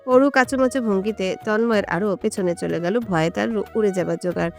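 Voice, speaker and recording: A woman's voice: medium pitch, fairly quick, creaky, soft background music. A woman reading a scripted romantic story as its narrator.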